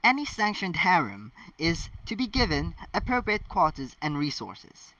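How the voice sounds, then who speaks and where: A man's voice: on the high side, sing-song, moderate pace, bright, forceful tone, dry recording, pitched above the performer's own voice, heavily acted. A man reading a stern, exacting teenage schoolgirl with a formal, officious manner, as in a storybook. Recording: distant mic, dull lo-fi sound.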